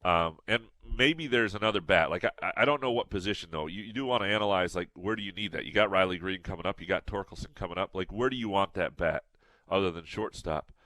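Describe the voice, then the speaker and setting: A man's voice: low voice, fast, bright, forceful tone, no room sound. A man co-hosting a sports podcast in casual chat, a little nasal, rambling with restarts and fillers. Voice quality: slightly nasal.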